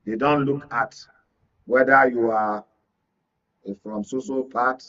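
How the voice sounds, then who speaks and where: A man's voice: mid-range voice, steady tone, slow, a bit bright. A Nigerian man giving political commentary as a studio guest, speaking emphatically with rhetorical repetition. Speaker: media analyst, studio guest. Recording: dull lo-fi audio, distant mic.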